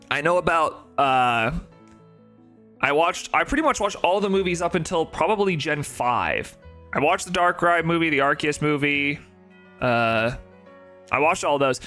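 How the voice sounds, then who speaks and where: A man with a nasal voice chatting casually on a live stream, rambling and excitable, with faint music behind him. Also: a little high. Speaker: shiny-hunting live streamer.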